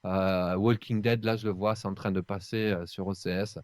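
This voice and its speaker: A man's voice: deep voice, steady tone, normal speed, clear, resonant tone, no room sound, clean studio sound. A man chatting casually on a podcast, talking in an emphatic, colloquial way. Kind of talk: casual chat.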